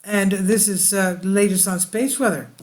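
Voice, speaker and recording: Halting space weather update host. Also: medium pitch, fairly even intonation. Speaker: video channel host. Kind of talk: reading space weather news aloud.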